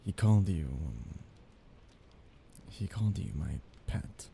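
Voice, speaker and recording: A man playing a soft-hearted, nervous young mafia heir in an intimate ASMR romance roleplay, speaking softly, haltingly and apologetically. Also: very deep voice, very animated, gravelly, slight background noise, heavily acted. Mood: awkward, reluctant.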